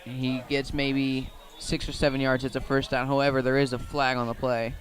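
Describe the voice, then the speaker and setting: Even-toned man calling football play-by-play. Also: mid-range voice, moderate pace. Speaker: play-by-play commentator. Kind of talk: live radio football commentary.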